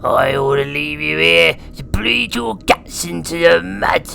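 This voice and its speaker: Casting: jeering ragged peasant, sharp, high-pitched and heavily gravelled, audio drama villainy. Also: male voice, fairly even intonation, measured pace, exaggerated voice. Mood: jeering, contemptuous. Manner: jeering, shouted taunting. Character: malnourished, taunting male peasant wretch in rags. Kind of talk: fan audio drama character acting.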